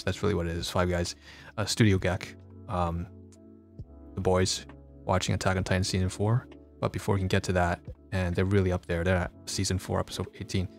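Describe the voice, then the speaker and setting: A man's voice: deep voice, gravelly. A man streaming a casual vlog, rambling with lots of fillers and restarts, sounding congested. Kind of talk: casual livestream vlog talk. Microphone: phone.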